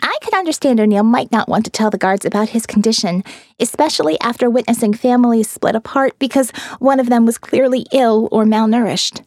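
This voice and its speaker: Female audiobook narrator, higher register, scripted first-person storytelling, very dry sound. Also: a little mellow, no echo.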